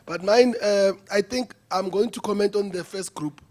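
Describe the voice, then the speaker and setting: A man's voice: high voice, normal speed, darkish tone. A man giving a hesitant floor comment at a youth conference. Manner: hesitant, many fillers. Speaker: conference delegate. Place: conference venue.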